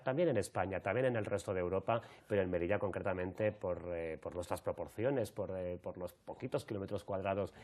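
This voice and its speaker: A man with an accent from Spain hosting an interview, wordy and halting as he rephrases his questions. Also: deep voice, darkish tone. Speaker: radio or TV show host. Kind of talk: live interview, introducing guests.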